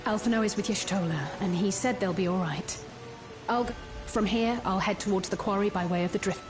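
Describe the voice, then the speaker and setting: A woman playing a composed, purposeful adventurer in a fantasy video game, with measured, serious delivery. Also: medium pitch, over music, close to an everyday voice. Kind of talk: dramatic video game acting.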